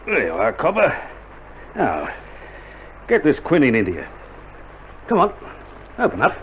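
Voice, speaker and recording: An actor playing a caring, no-nonsense Australian army medic in a radio drama, coaxing and grumbling, on an old vinyl recording. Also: male voice, mid-range voice, huge pitch swings, unhurried, a bit bright, highs cut off, a little hiss or hum. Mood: coaxing, urgent. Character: caring, no-nonsense army medic soldier tending a sick mate.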